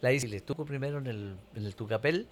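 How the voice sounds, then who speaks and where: A man from Chile chatting on the radio in a relaxed way, often answering with short agreements. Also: medium pitch, quick pace. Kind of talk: casual radio chat.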